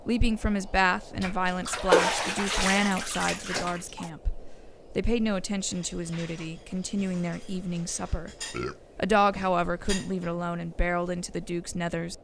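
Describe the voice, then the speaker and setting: Low, creaky woman storybook narrator. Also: level tone, brisk, very noisy.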